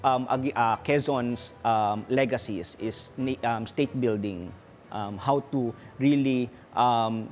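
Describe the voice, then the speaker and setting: Halting Filipino man, historian guest. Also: mid-range voice, darkish tone, slight background noise. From Philippines. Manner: halting, many ums and restarts. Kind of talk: interview answers.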